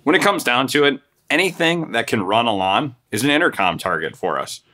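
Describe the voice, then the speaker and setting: A man's voice: mid-range voice, lively intonation, a little fast, bright, forceful tone. An American man in his forties presenting a product tutorial in an upbeat, informal way. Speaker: sales director presenting tutorial. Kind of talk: presenter talk to camera. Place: furnished living room of a house, indoors.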